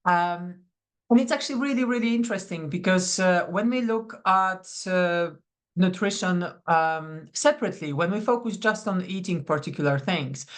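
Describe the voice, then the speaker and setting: A woman's voice: on the low side, normal speed. A woman from Poland, a coach leading a live online masterclass in a chatty, welcoming way over a video call. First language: Polish. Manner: welcoming, chatty, greets attendees by name. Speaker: psychonutrition coach.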